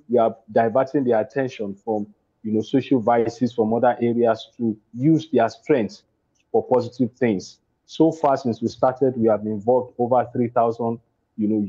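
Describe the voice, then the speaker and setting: A man's voice: mid-range voice, mellow. Nigerian man on a lo-fi video call. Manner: hesitant, many fillers and restarts. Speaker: playwright and producer.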